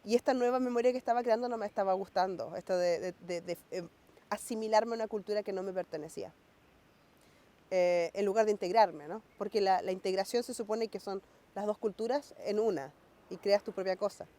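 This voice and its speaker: A woman's voice: mid-range voice, brisk, mellow. A woman raised in Norway, reflecting in a documentary interview and speeding up when she quotes her parents.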